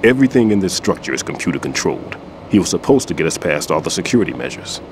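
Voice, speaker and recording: Grizzled old bomb expert in a game cutscene, very low voice, lots of rise and fall, music under it. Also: male voice, sing-song, fast talker, noisy background. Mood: calm instructive. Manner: instructive, reflective. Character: older male bomb disposal expert, patient mentor. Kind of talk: video game cutscene dialogue. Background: music score.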